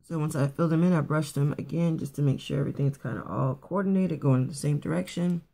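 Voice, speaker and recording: A woman with a raspy morning voice casually talking through a quick makeup routine, rambling a bit with fillers and restarts. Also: low voice, dark tone. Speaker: beauty vlogger. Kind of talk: casual makeup tutorial chat. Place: at home getting ready for work.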